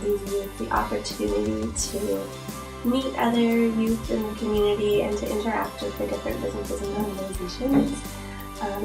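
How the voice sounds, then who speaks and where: A woman's voice: medium pitch, vocal fry. A woman reflecting in an interview as a member of a project's staff. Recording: echoey room, music behind.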